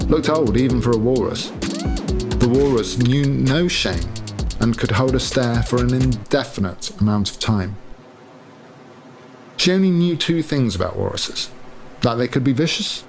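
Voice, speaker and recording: A man reading literary fiction as scripted narration, with measured, deliberate phrasing. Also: on the low side. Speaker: author reading own novel excerpt. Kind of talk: scripted literary narration over sound design. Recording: music behind the voice. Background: atmospheric music.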